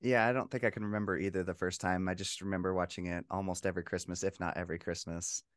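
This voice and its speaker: Podcast co-host: young adult man, slightly low voice, casual delivery, dry recording. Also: level tone, fast talker, no room sound. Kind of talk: casual chat.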